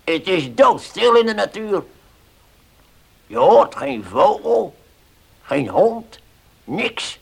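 A man's voice: high voice, sing-song, slow, creaky, long pauses, husky, exaggerated voice. An actor playing an anxious, watchful farmhand who defers to his boss in a radio drama. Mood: uneasy. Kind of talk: Dutch radio drama acting.

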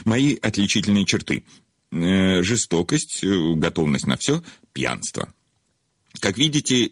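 A man's voice: low voice, husky, no room sound, clean studio sound, heavily acted. A man acting in an audio play as a famous, cynical, hard-drinking aging writer with a hesitant, self-mocking, curt manner.

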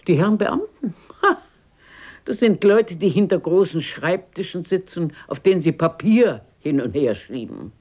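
An actress playing a blunt, fearless, warm-hearted old grandmother in a radio drama, brisk and outspoken, scolding yet affectionate. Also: lowish voice, expressive, husky, dull audio. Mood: scornful, explaining. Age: older.

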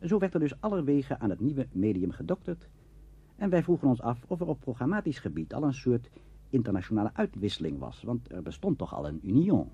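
A man's voice: mid-range voice, very animated, fairly quick, dark tone, slightly rough, dry recording. A man with a Netherlands Dutch accent reading scripted narration for a documentary. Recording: dull, lo-fi radio recording.

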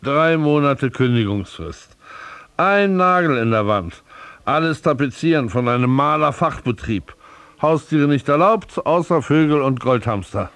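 A man's voice: fairly high pitch, expressive, a little slow, a bit bright, dry recording. An actor playing a brash, greedy landlord in a radio drama, glib and sarcastic like a salesman. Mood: officious.

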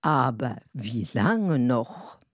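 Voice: medium pitch, sing-song, extremely slow, no room sound, clean studio sound. A narrator reading a scripted story in a wry storytelling manner.

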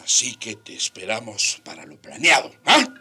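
A man playing a proud, vengeful Spanish colonial captain in a radio drama, commanding and mocking, with a husky voice. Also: fairly low pitch, wildly swooping pitch, moderate pace, pushed and bright, faint music behind, full character voice. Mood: menacing, insinuating.

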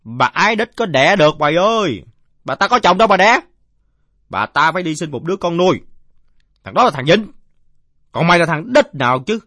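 A man's voice: on the high side, big pitch swings, brisk, long pauses, bright, forceful tone, dry recording, studio quality, pitched above the performer's own voice, exaggerated voice. A man voicing a belligerent, drunken village father in a story, blustering and coarse-mouthed. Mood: scornful bluster.

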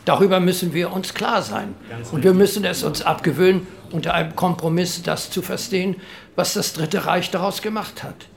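An admonishing parliamentary delegate of the late forties in a radio docudrama. His voice is rather high and gravelly, and he instructs emphatically. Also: moderate pace. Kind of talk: acted speech from historical minutes.

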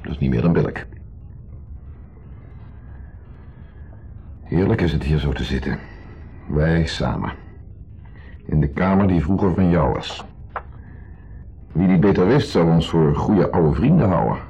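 Insecure jealous husband, sarcastic mock-polite host. Low-pitched, swinging delivery, vintage radio play. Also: male voice, lively intonation, moderate pace, gravelly, long pauses, warm tone, exaggerated voice. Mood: menacing politeness. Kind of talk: 1970s Dutch radio drama acting. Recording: muffled 1970s radio recording, music behind.

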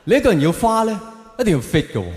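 A man with a Hong Kong Cantonese accent does stand-up in a hall with long reverb. His voice sits a little high. He delivers opinions with mock seriousness and keeps addressing the audience with rhetorical questions. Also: sing-song, very fast, very noisy. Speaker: stand-up comedian. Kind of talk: stand-up comedy routine to a live audience. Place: live venue, on stage before an audience.

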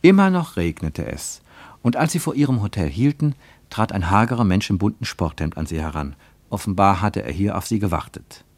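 A man as the narrator of a radio play travelogue, reading literary prose in a measured, reporting manner. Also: fairly low pitch, sing-song, no room sound. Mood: measured, observant.

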